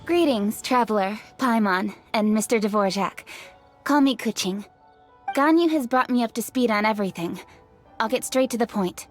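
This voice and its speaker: A woman's voice: fairly high pitch, expressive, normal speed, lightly acted. An actress voicing a confident young female official in a video game, direct, businesslike and poised. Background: game music.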